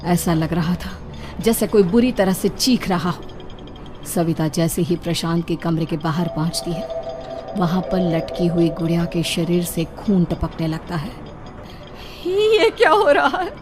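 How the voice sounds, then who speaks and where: A woman's voice: lowish voice, fairly even intonation, moderate pace, music in the background. A woman reading a scripted story as its storyteller.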